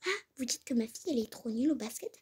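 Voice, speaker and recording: A woman's voice: on the high side, expressive, very fast, darkish tone, breathy edge. A girl playing a furious protective mother. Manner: indignant, threatening, repeats herself. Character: fiercely protective angry mother, woman. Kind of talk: improvised role-play skit.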